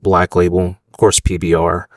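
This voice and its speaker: A man's voice: low-pitched, monotone, normal speed, no room sound, clean studio sound. A man in his thirties from the United States, a restaurant owner chatting casually in an interview, rambling with fillers.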